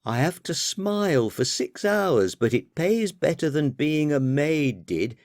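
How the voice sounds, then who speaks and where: A man's voice: a little high, lively intonation, darkish tone, dry recording, clean studio sound, voice pushed up. Give me a bubbly, nagging young woman, put on by a man reading a story, voice jumping all over. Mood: chirpy scolding. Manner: chirpy, nagging, quick to scold. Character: lively young adult daughter, bubbly and bossy.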